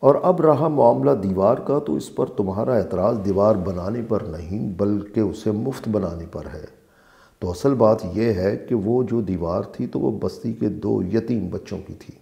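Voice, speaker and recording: Low, creaky-voiced man reading scripture translation. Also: measured pace, mellow. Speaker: translator and reciter of a Quran translation. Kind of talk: scripted religious narration, read aloud.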